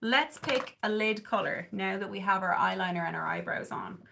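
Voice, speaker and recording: A woman's voice: medium pitch, moderate pace, creaky, noisy background. An Irish woman in her thirties talks through her makeup on a live stream from her bedroom, close to the camera. She rambles easily with plenty of "like" and "um" and chats with her viewers as she goes. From southern Ireland. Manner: chatty and rambling, with frequent "like" and "um"; coos at her cat. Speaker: beauty livestreamer. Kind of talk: casual chat while doing makeup, reading viewer comments. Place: bedroom at home, indoors. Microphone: webcam or phone camera at close range.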